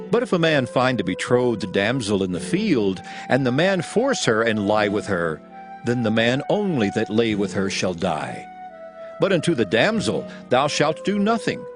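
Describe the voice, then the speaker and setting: A man reading scripture aloud as a narrator, solemn and measured, in a dramatized style. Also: medium pitch. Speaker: Bible narrator.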